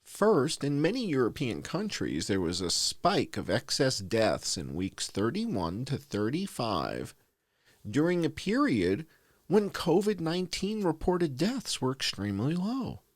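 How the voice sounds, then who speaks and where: A man hosting, reading a research report aloud in a deliberate, emphatic way. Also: mid-range voice, wildly swooping pitch, no room sound. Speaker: host.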